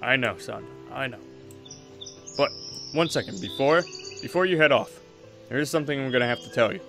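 A man playing an easygoing but firm father, a veteran exorcist in a Minecraft roleplay, talking in a relaxed, reassuring way. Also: medium pitch, a little fast, soft background music. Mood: calm, fatherly. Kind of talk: improvised Minecraft anime roleplay.

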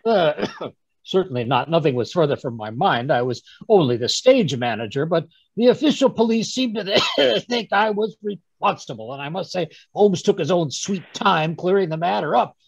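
Guy doing a fussy old man in a radio play, blustery and stammery, creaky voice, dull call audio. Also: fairly high pitch, lively intonation, moderate pace, dry recording, full character voice. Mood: indignant. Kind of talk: 1930s radio drama acting. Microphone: video call.

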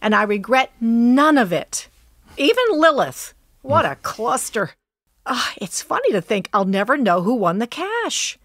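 A woman's voice: fairly high pitch, no room sound, studio quality, lightly acted. A woman playing brash, mischievous dead socialite. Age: older. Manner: mischievous, laughing, teasing. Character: brash dead woman Sheila, outrageous and charismatic. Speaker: deceased woman in recorded farewell video. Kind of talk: radio play acting.

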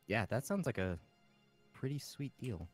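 A man chatting casually while gaming, with halting, hesitant phrasing. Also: mid-range voice, very animated, slightly rough. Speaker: player in an online game session. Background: music.

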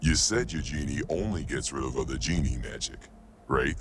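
A man's voice: a bass voice, expressive, normal speed, background noise. A man playing a wary, laid-back companion in an adventure audio drama.

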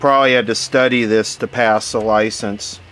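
A man giving casual, hands-on unboxing commentary, musing aloud in short phrases with pauses between them. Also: lowish voice. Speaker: vlogger unboxing vintage radio gear. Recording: steady background noise.

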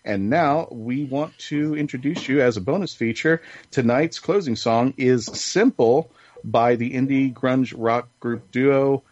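A man's voice: medium pitch, a little slow, darkish tone. A man hosting a casual fan podcast, chatting enthusiastically about things he likes. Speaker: podcast host. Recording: dull lo-fi audio.

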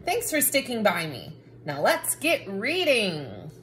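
A woman plays a cranky storybook character, a talking book that bosses and exclaims. The delivery is very animated and swoops up and down, at an unhurried pace. Faint noise sits behind the voice. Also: medium pitch, exaggerated voice. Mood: softening, friendly. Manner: bossy, dramatic exclamations. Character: cranky, grumpy talking picture book, bossy and easily exasperated. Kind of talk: children's picture book read-aloud.

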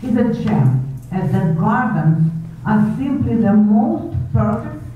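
Radio-play casting: woman as imperious older society snob, high animated voice, gushing theatrically, captured far off in an echoey hall. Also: slow pace, narrow, tinny audio, slight background noise. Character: gushing, bossy, snobbish older society lady. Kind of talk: 1930s radio drama acting.

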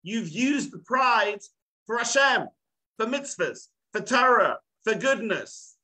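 Rabbi in his 40s giving an online class from his study, animated and emphatic, highish sharp voice, webcam sound. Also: slow pace, poor audio. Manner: animated, emphatic, gesturing a lot. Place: home study with bookshelves, indoors. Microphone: webcam on a video call. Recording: video call webcam.

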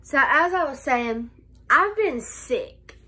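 A young woman with a lingering cold talks into her phone in a small room, her high voice sounding stuffy and nasal. She is bright and clear, but she goes slowly and haltingly, restarting sentences as she chats. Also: lively intonation. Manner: slow, halting, many "um"s and restarts. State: nasal from a cold, slight cough. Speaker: vlogger. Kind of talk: casual life update vlog. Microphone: phone camera held close.

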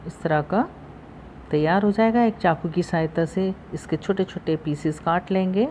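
A woman hosting a cooking channel, calmly talking through the steps of a recipe in her home kitchen, recorded on a phone. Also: on the low side, normal speed, a little hiss or hum. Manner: calm, instructive, repeats steps. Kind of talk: step-by-step recipe voice-over.

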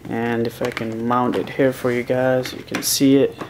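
A man's voice: medium pitch, moderate pace, background noise. A young man casually showing his helmet gear in a home office, rambling with lots of uh and um fillers. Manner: relaxed, rambling with many uh and um fillers. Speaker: motovlogger. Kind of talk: casual vlog, gear walkthrough. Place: small home office, indoors.

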